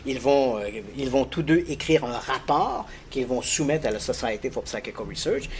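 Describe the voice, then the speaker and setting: A middle-aged man explaining a strange case as a guest, telling it like an animated storyteller who chuckles easily. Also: normal speed, a little hiss or hum. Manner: animated, lively storyteller with frequent pitch jumps. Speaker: guest expert on paranormal cases.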